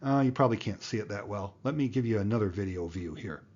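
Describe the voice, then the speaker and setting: A man's voice: lowish voice, lively intonation, fast talker, dull audio, background noise. A man narrating an explanatory tutorial as an instructor, plain-spoken and going through things step by step. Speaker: amateur radio instructor, video host.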